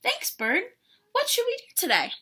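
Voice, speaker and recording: A woman voicing a friendly, thoughtful bear in a children's picture book, with animated, simple phrasing. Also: very high voice, moderate pace, voice pushed up, heavily acted. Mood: friendly, pleased.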